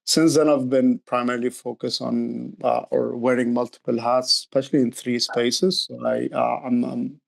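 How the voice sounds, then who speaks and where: Middle aged guy, bit gravelly, explaining stuff earnestly in an interview. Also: mid-range voice, level tone, moderate pace, hardly any pauses, poor audio. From Egypt. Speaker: youth program director, interview guest.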